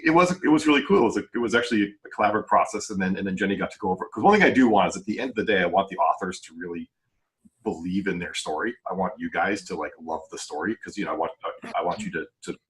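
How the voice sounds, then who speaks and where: A man's voice: low voice, fairly bright tone. A man chatting casually as a podcast host and publisher, earnest and rambling in unscripted talk. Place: remote group video call.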